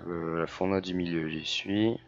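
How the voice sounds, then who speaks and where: Man, very low voice, hoarse, casual gameplay chatter, dull lo-fi mic, faint music behind. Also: steady tone, normal speed. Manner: chatty, many fillers and restarts. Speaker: gaming YouTuber. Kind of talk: casual let's-play commentary.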